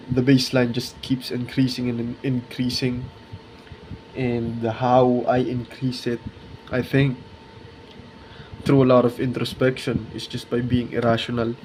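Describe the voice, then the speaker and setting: Young man, age twenty, slow halting delivery, flat intonation, casual reflective vlog, faint room hum. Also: medium pitch, poor audio. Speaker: art video creator.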